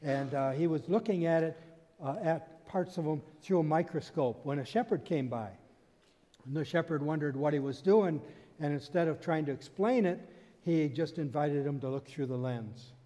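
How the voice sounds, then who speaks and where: Older guy preaching at a pulpit, mellow tone, big church reverb. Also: fairly high pitch, normal speed. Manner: earnest storytelling preacher, frequent restarts. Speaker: pastor. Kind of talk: sermon. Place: church sanctuary stage, indoors. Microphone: stand mic at pulpit. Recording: long hall reverb.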